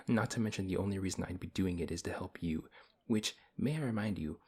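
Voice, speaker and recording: Guy doing a big strong paladin for asmr roleplay, really deep husky voice, stern and kinda dry, wary but helpful. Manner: stern, measured, dryly skeptical. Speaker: audio roleplay performer. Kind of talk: ASMR audio roleplay acting.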